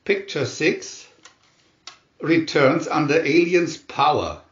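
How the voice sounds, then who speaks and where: Guy narrating a picture story real slow and dramatic, dull roomy recording. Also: fairly high pitch. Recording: dull, echoey room recording.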